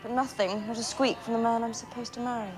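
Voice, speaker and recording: An actress playing a lonely, polite young English fiancée of a prince in a screen drama, over background noise. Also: female voice, fairly high pitch, level tone, fast, music in the background, natural voice.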